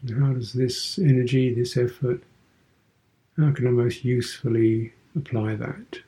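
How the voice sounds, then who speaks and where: Slow, mellow man guiding meditation. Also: mid-range voice, steady tone, poor audio. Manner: contemplative, sparse short phrases with pauses, posing gentle questions. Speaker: meditation teacher, Buddhist monk. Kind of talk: guided meditation.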